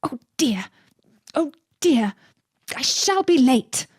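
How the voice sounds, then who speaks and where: A woman voicing a fretful, hurried talking rabbit in a storybook reading. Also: sits high, big pitch swings, husky, pitched above the performer's own voice, full character voice. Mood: anxious, hurried.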